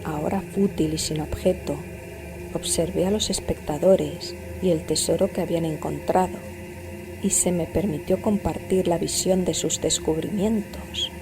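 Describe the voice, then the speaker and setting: A woman narrator giving a measured, scripted reading of a gothic horror story. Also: on the low side, fairly even intonation. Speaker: audiobook narrator. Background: music.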